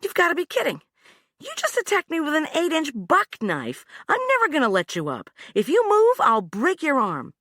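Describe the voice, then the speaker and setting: A woman's voice: high voice, wildly swooping pitch, normal speed, a bit bright, dry recording, clean studio sound, pitched above the performer's own voice, heavily acted. A woman narrator voicing a tough, angry woman who swears and threatens a man she has pinned down. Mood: threatening.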